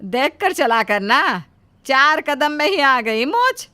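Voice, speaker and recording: A woman's voice: high voice, sing-song, slow pace, sharp tone, no echo, heavily acted. A woman voicing a grumbling older mother-in-law in a moral story, scolding and emphatic. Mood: irritated. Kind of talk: animated moral storybook acting.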